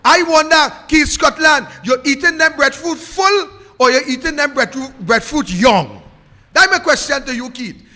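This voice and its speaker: A Trinidadian man hosts a political talk show with a very high, piercing voice. He scorns his targets and hammers the same questions again and again. The sound carries a long, echoey reverb. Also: animated, normal speed, bright, forceful tone. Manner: scornful, repetitive rhetorical questioning. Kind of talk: ranting live political commentary.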